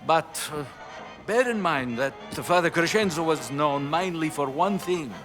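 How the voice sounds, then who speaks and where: A man playing a scholarly, cautious and friendly Italian priest in a cinematic game, measured and slightly hesitant, with an Italian accent. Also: on the high side, a bit bright, soft background music.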